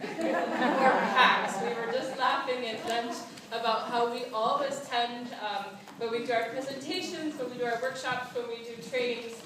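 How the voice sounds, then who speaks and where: A woman's voice: on the high side, fairly even intonation, normal speed. Woman presenter in an echoey hall. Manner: warm, filler-heavy, repetitive phrasing. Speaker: workshop presenter. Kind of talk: conference workshop talk. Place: conference room. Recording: echoey room, distant, noisy.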